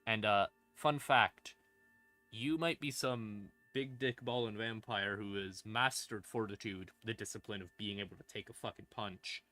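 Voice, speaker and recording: A man's voice: fairly low pitch, fairly even intonation, measured pace, pushed and bright, no echo. A man explaining lore on a stream in a casual, unscripted, irreverent way, swearing freely. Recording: background music under voice. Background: ambient music.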